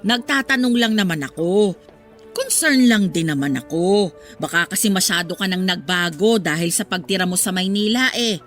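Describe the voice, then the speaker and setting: Radio drama: actress as older gossip woman, medium pitch, prying and judgmental, falsely concerned. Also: moderate pace, fairly bright tone, natural voice. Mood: scolding. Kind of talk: Tagalog radio drama acting. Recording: background music under dialogue.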